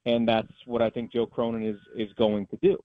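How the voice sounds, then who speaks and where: A man's voice: medium pitch, level tone, a little fast, no echo. Dull-sounding line, emphatic male sports announcer. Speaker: sports play-by-play announcer as guest. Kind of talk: conversational sports analysis.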